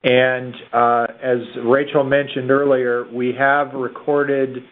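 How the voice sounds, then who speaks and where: Phone-line man moderating, mid-pitched. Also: little pitch movement, slow pace. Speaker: conference call moderator. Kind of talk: informal meeting moderation. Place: conference call. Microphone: telephone. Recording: muffled telephone line.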